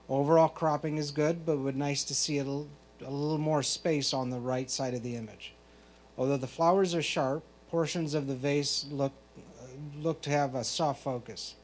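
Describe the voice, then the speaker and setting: A man with a somewhat high voice reads prepared critiques as a competition judge. His delivery is measured and explanatory. A noticeable hum and noise sit behind him. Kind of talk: reading out prepared photo critiques. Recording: clear background noise, hum.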